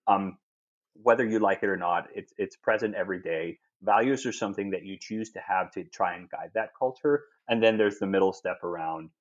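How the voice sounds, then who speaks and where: Low-voiced European man, thoughtful restarts. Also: fairly quick, highs cut off. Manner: thoughtful, frequent restarts and repeated words. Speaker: guest. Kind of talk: podcast conversation.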